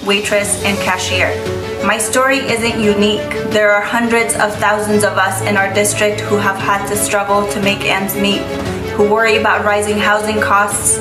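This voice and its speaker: A woman from Afghanistan narrating her campaign video in a steady, earnest delivery. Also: mid-range voice. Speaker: political candidate. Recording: roomy sound with music behind.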